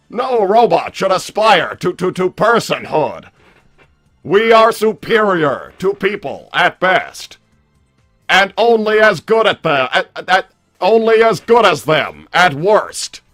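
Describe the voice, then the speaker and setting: A man's voice: high-pitched, sharp tone, heavily acted. A man voicing an arrogant, menacing combat robot who debates in harsh, emphatic, repetitive declarations. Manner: imperious, emphatic, repeating words. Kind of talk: improvised in-character debate.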